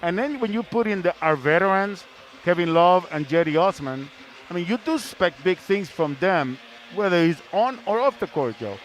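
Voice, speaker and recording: A man's voice: sits high, lively intonation, moderate pace. A man hosting a sports broadcast, talking basketball conversationally and repeating words as he builds up his questions.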